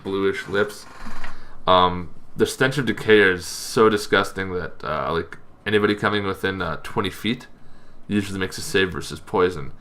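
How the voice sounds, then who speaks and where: A man hosting an informal explainer on tabletop game monsters, talking in a casual, halting, loosely phrased way. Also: low voice, a bit bright. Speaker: host of a tabletop roleplaying lore show.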